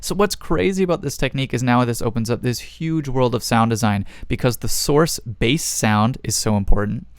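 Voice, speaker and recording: A man's voice: medium pitch, moderate pace, hardly any pauses, no echo. A man hosting a music production tutorial, explaining things step by step in a casual way.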